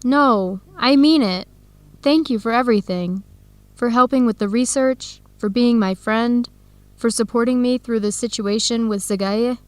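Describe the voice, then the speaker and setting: An actress playing a warm, upbeat young researcher in an educational radio drama, speaking in clear, simple phrases. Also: female voice, a little high, a little slow, no echo, lightly acted. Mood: sincere, grateful.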